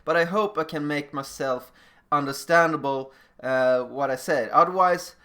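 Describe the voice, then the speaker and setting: A man's voice: on the high side, normal speed, pushed and bright. A man from Sweden with a Swedish accent, a non-native speaker giving an informal presentation as a teacher in plain, slightly non-fluent phrasing. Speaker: middle school teacher giving a lecture. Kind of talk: informal recorded presentation with personal stories.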